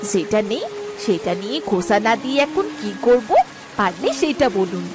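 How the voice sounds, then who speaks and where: A woman's voice: mid-range voice, sing-song, normal speed, close to an everyday voice. A woman voicing a fretful, snappy village housewife in a cartoon, with music behind. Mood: irritated. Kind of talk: cartoon dialogue.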